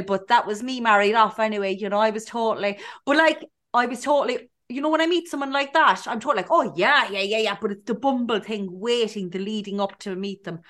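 A young woman with a Cork Irish accent, co-hosting a podcast and telling stories in a lively, chatty way, acting out the dialogue. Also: fairly high pitch. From Ireland. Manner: animated storyteller, acts out dialogue. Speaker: podcast co-host. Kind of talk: casual chat.

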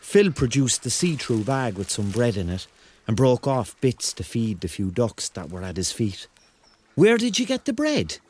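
An actor playing a rambling, excitable Cork man in his thirties, telling his story in a colloquial radio drama style. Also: medium pitch, moderate pace, breathy edge. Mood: bewildered, eager. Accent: Cork Irish. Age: 30s. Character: rambling, excitable thirty-year-old Corkman on a surreal night out. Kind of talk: radio drama acting, first-person narration and dialogue.

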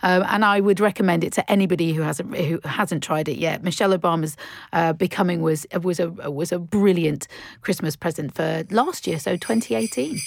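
A woman with a slightly low voice gives a chatty, personal recommendation. She gushes and repeats words for emphasis, and the recording is very dry. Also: moderate pace, vocal fry, dry recording. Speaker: radio presenter.

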